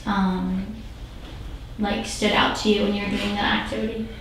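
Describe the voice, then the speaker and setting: A young woman asks interview questions in a hesitant way, with plenty of fillers. Her voice stays flat and even. She sits away from the microphone in a room with a strong echo. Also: medium pitch, moderate pace, noisy background. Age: young adult. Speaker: student interviewer. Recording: roomy echo, speaker distant from the mic.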